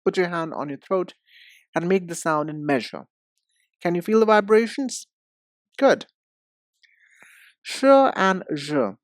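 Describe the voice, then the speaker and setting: A man teaching English pronunciation in a deliberate, instructive way, speaking directly to the learner. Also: high-pitched, expressive, dry recording, clean studio sound. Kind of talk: instructional lesson.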